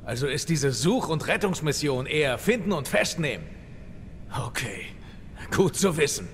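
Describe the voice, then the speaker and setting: Creaky-voiced, wry young mercenary played by a man. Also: fairly high pitch, big pitch swings, normal speed, slight background noise. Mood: focused, questioning. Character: Carlos, tough wry young male mercenary in zombie horror. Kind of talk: dramatic audio drama acting of a video game story.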